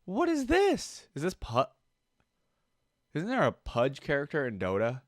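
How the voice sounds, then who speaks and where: A man's voice: on the high side, huge pitch swings, a little slow, dry recording, clean studio sound. A man giving casual, off-the-cuff reaction commentary as a video host, often muttering short, awed interjections.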